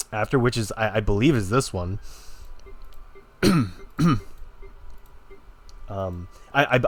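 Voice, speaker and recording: A man rambling casually and hesitantly as he introduces a gameplay video, with an offhand manner. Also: fairly low pitch. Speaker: gaming video creator.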